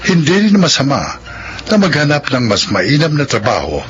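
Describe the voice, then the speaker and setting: A man's voice: mid-range voice, sing-song, moderate pace. A man announcing a radio drama in scripted narration, reading credits and introducing stories. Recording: dull radio broadcast over music. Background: loud music.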